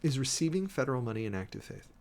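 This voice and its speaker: A man with a mid-pitched voice hosts a livestream, moving between formal reading and casual commentary. He talks informally, with halting asides and dramatic emphasis on key phrases. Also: sing-song, darkish tone. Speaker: livestream host. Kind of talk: reading a document aloud with off-the-cuff commentary.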